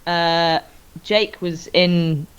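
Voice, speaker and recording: A woman's voice: on the low side, steady tone, very slow, smooth, highs cut off. A woman from England, a band's singer, chatting as an interview guest with dry, self-mocking humor. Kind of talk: casual interview chat.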